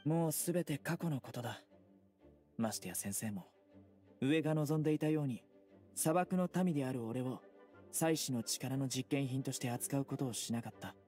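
A man voicing a stern, serious young desert-born enforcer in an anime game, speaking formally and bluntly in a deadpan. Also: mid-range voice, normal speed, natural voice. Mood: solemn. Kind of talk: Japanese anime game voice acting. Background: music.

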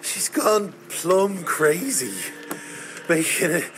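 A man voicing a jittery, talkative, foul-mouthed vampire informant in a game cutscene, halting and agitated, with a creaky voice. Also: sits high, noisy background. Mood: bitter, agitated. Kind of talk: video game cutscene acting.

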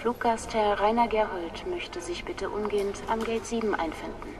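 An actress playing a formal airport gate announcer in a radio drama, measured in delivery. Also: female voice, medium pitch, noisy background, natural voice. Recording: distant airport announcement, busy crowd.

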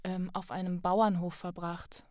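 A woman's voice: lowish voice, level tone, unhurried, a little mellow, no echo. Young woman chatting, dry close sound. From Thuringia, Germany. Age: young adult. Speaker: podcast guest, actress. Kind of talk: casual conversational interview.

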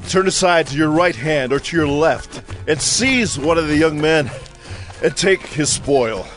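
A man's voice: fairly high pitch, lively intonation, unhurried, fairly bright tone. A man playing an earnest, pleading army commander in a dramatized scripture audio drama, speaking in declamatory appeals. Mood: warning. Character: battle-weary male army commander, urgent, pleading warrior. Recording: dramatic music behind the voice.

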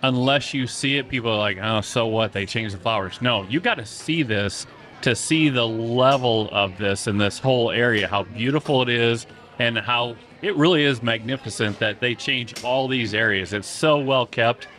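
An older man co-hosting a casual chat on a restaurant patio, reacting quickly. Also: mid-range voice, fairly bright tone. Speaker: co-host.

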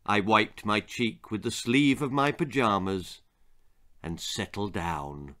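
Theatrical older man telling stories, slow-paced. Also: on the low side, sharp tone. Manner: theatrical, suspenseful, deliberate. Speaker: author reading his own children's story. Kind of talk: dramatic storytelling, scripted narration. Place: small book-lined room indoors.

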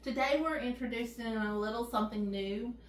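A woman's voice: on the high side, brisk, a little hiss or hum. A woman in her thirties, co-hosting a casual channel chat in a friendly, upbeat way. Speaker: co-host. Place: indoor room with game table and backdrop. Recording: echoey room.

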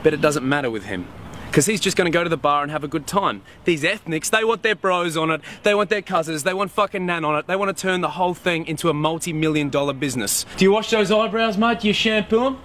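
A man's voice: a little high, quick pace, fairly bright tone, a little hiss or hum, lightly acted. A young man with an Australian accent playing a smug, bullying policeman in a mockumentary comedy. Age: 20s.

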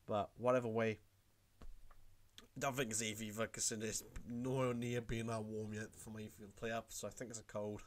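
A man's voice: lowish voice, level tone, brisk, slight background noise. A young man with a cold, rambling through a casual tutorial vlog from his room at home. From Wales. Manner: rambling, repeats himself. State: has a cold. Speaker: YouTuber.

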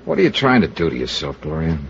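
A man's voice: rumbling low, wildly swooping pitch, quick pace, vocal fry, a little hiss or hum, heavily acted. An American man playing a tough, wry insurance investigator in a 1950s radio detective drama. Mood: easy, probing. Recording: dull old radio recording, faint music.

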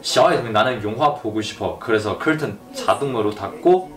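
A young man with a lively, rising and falling voice talks casually from a sofa. He is a native Mandarin speaker from China, explaining videos to his girlfriend. The sound is roomy and a bit distant, with music playing beneath. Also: medium pitch, moderate pace. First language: Mandarin Chinese. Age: young adult. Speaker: vlogger showing videos to girlfriend. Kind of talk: casual chat. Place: living room, on a sofa.

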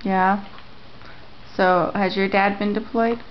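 A woman interviewing family members at home, repeating their answers back and asking gentle questions. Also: medium pitch, fairly even intonation, takes it slowly, a little hiss or hum. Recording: lo-fi home camera audio.

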